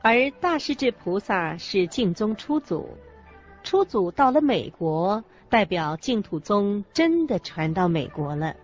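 A woman's voice: mid-range voice, sing-song, a little slow. A woman co-narrating a scripted religious radio drama, phrasing each teaching carefully. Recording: muffled audio with music bed. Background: background music.

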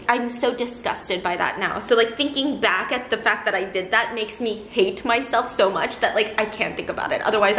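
A young woman hosting a casual chat, telling a story quickly and self-deprecatingly, with a lot of "like". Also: medium pitch. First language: Korean. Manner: fast, animated, self-deprecating storytelling with lots of "like". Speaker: YouTube host. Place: living room, seated on a round sofa. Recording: echoey room with faint noise.

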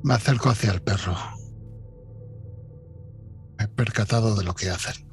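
A man's voice: lowish voice, normal speed, soft background music. A man playing a tabletop game, talking casually and bluntly, with some swearing. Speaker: player.

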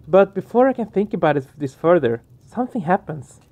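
A man's voice: high-pitched, sing-song, fairly quick, narrow, tinny audio. A man hosting a let's play, reading game narration aloud and adding casual commentary. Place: small home room, indoors. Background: game music.

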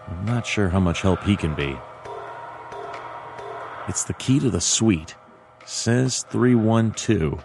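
An actor playing a world-weary bird private detective, narrating a noir game in a dry, laconic deadpan. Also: male voice, lowish voice, takes it slowly, long pauses, noisy background. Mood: dry, observant.

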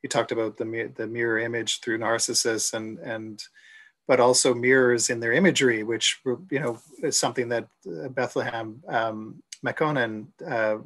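A middle-aged man moderating a panel on a video call, talking haltingly with many fillers and restarts. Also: medium pitch, fairly even intonation, fairly bright tone. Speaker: gallery moderator. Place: room at home. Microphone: video call webcam.

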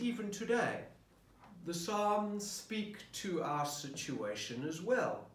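A middle-aged man, a parish priest, reflects slowly on scripture, his somewhat high voice rising and falling with animation. He poses questions to the listener as he works through the readings. The camera sits at a distance in a roomy office. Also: a bit bright. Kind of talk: sermon preparation talk. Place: office at a desk, indoors. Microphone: camera at desk distance.

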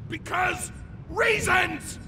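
A man voicing a bombastic macho video game character, bellowing with gravelly, aggressive enthusiasm. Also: extremely high pitch, drawn-out words, shouting, far from the mic, noisy background, exaggerated voice. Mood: roaring excitement.

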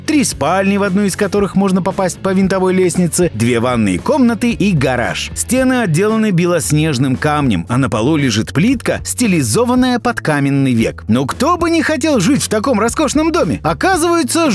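A man reading a scripted, upbeat list-video narration in an enthusiastic, emphatic way. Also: high voice. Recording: voice-over with music bed. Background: background music.

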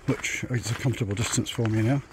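A man's voice: lowish voice, steady tone, fast talker. Man thinking aloud on a walk outdoors. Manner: rambling, thinking aloud with restarts. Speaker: vlogger walking a pilgrimage route. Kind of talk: casual walking vlog. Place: outdoors on a rural dirt track. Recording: noisy outdoor walking recording. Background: rural outdoor noise.

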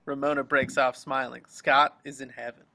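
A man's voice: mid-range voice, little pitch movement, normal speed, bright, forceful tone. A man narrating the action descriptions of a screenplay in an amateur table reading. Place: online group video call.

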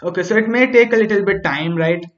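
A man's voice: sits high, fast. A man teaching a coding tutorial over his screen, talking through each step and often asking "right?" as he thinks aloud. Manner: frequent restarts and fillers while thinking aloud. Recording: echoey, dull home recording.

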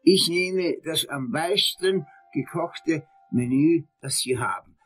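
A man's voice: on the high side, slow pace, fairly bright tone, faint music behind, poor audio. A man playing a fussy, self-important, toothless lawyer in a crime radio play, speaking with pedantic emphasis. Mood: fussy, pompous.

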